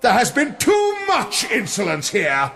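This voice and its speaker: An actor playing an imperious, volatile prince with a commanding, theatrical delivery in a gothic radio drama. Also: male voice, high-pitched, huge pitch swings, a little slow, gravelly, a bit bright, soft background music, heavily acted. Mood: imperious anger.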